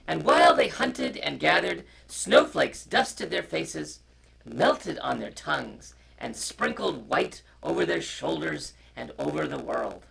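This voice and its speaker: Male storyteller and co-host, heavy creak and lively pitch, informal delivery over noisy live-stream sound. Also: slow pace. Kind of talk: informal chat and picture-book reading aloud.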